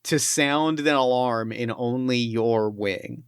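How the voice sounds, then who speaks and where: A man's voice: medium pitch, slow pace, clean tone, no room sound, studio quality. A man running a tabletop actual-play game as game master, talking with enthusiasm, swearing freely and often restarting his sentences. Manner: enthusiastic, profane, stumbles over restarts.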